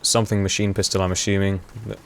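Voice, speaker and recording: A young man giving casual, rambling commentary over gameplay, with many fillers and frequent laughs, his voice getting hoarse. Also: low-pitched, level tone, quick pace, a bit bright. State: voice going hoarse. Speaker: gaming commentator.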